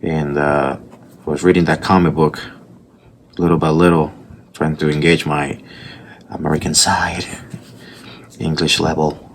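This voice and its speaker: Puerto Rican artist, strongly accented and very low-voiced, for a loose, rambling sketchbook commentary with a faint hiss behind. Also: male voice, little pitch movement, unhurried, long pauses. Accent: Puerto Rican Spanish. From Puerto Rico. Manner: rambling storytelling with restarts and asides. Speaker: artist narrating his sketchbook process. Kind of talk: casual voice-over commentary.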